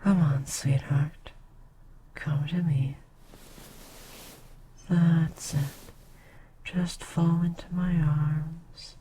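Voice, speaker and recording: ASMR roleplay: ancient, motherly succubus queen voiced by a woman, slow and breathy, dark mellow tone. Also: sits low, level tone, slight background noise, poor audio. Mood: soothing. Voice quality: breathy whisper. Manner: soft, slow, often whispering.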